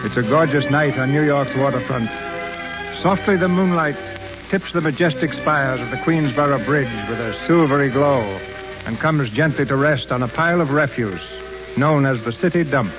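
Old 1930s radio announcer guy, flowery formal narration, muffled recording, bit of music. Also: mid-range voice. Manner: formal, florid. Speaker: radio theater host and announcer. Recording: band-limited 1930s radio broadcast.

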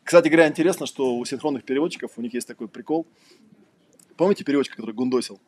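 A man's voice: mid-range voice, racing, darkish tone, a little hiss or hum. A man giving an informal lecture, conversational and rambling, telling anecdotes with a wry manner. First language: Russian. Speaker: trainer, lecturer.

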